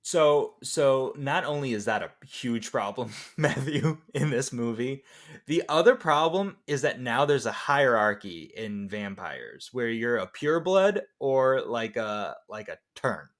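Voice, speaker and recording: Guy co-hosting a film podcast, informal and chatty, medium pitch. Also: animated, a little slow. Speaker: podcast co-host. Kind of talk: casual film discussion.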